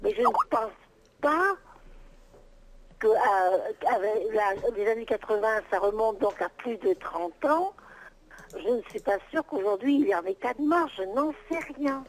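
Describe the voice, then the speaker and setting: A woman in middle age speaks in a somewhat high voice over a telephone with faint noise behind her. She sounds exasperated and insistent, going back over the same point as she answers a caller off the cuff. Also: lively intonation, a little slow. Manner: exasperated, insistent, repeating herself. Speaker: private seller answering a phone call about an ad. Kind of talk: unscripted phone conversation. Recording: noisy telephone call.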